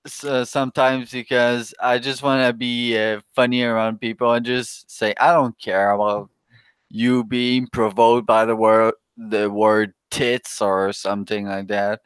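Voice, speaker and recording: A French-Canadian man with a thick Québécois accent talks slowly and haltingly in English, hunting for his words between chuckles. His voice is smooth and clear, with a dry, close sound. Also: mid-range voice, little pitch movement, dry recording. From Quebec, Canada. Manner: halting, searching for English words, laughs often. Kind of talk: casual voice chat.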